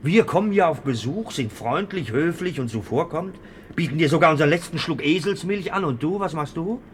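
Actor as a cocky, mocking prodigal son. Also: male voice, on the high side, lively intonation, brisk, lightly acted. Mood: indignant, scornful. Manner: brash, sardonic, colloquial. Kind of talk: German radio play acting. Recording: noisy old radio recording.

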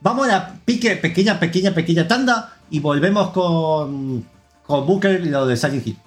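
An Argentine man hosts a relaxed gaming podcast in a somewhat high voice, rambling from one news item to the next and reading listener comments aloud. Soft music plays faintly underneath. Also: normal speed. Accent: Argentine Spanish. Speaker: podcast host. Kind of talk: casual gaming news chat.

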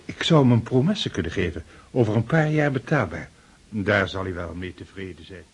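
An actor playing a generous, flustered middle-aged count in a radio drama, hastily agreeable, with a husky voice. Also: male voice, sits low, moderate pace. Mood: musing. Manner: hasty, repetitive, eagerly agreeable. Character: generous, flustered count, anxious father of the bride.

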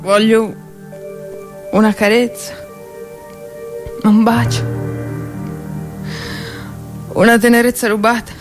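A woman performing a theatrical monologue as a drunk, lonely woman soldier in a First World War trench, rambling and halting in her speech. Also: a little high, voice pushed up, close to an everyday voice. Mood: desperate, pleading. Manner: slurred, halting, tipsy rambling.

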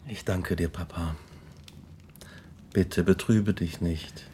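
An actor playing an earnest, ambitious young student in a radio drama, speaking in clearly phrased dialogue and narration. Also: male voice, deep voice, fairly even intonation, slow, dark tone, a little hiss or hum. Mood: earnest, affectionate. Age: young adult. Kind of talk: radio drama acting, with first-person narration.

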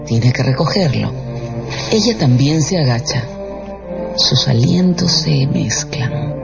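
A man's voice: medium pitch, animated, slow, gravelly. A man giving dramatic scripted narration for a radio drama. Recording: dull lo-fi broadcast with music behind.

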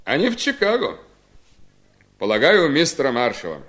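An actor playing a bitter, resolute husband in a Soviet seventies radio drama, speaking in a deliberate, ironic, emphatic way. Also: male voice, mid-range voice, wildly swooping pitch, fairly bright tone. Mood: sardonic, accusing. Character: bitter, resolute husband coolly punishing his scheming wife.

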